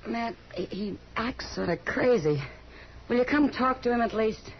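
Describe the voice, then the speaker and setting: A woman's voice: fairly high pitch, measured pace. An actress playing a worried, caring and plainspoken saloon woman in a 1950s radio western. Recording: muffled, noisy old radio recording.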